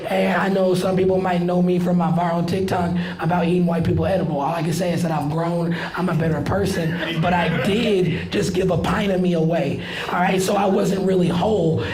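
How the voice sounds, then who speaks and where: A young man doing stand-up with a hoarse, raspy voice, rambling loosely with lots of fillers. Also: high-pitched, steady tone, moderate pace, few pauses. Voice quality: raspy, voice worn from yelling. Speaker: stand-up comedian. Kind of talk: stand-up set. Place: comedy club stage. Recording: echoey room with loud crowd laughter.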